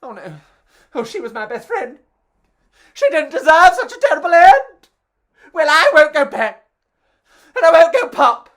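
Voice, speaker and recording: A melodramatic little sausage from a picture book, acted out by a woman. The voice is high and swooping. It exclaims everything with excitable drama. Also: a little slow, long pauses, heavily acted. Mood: panicked, defiant.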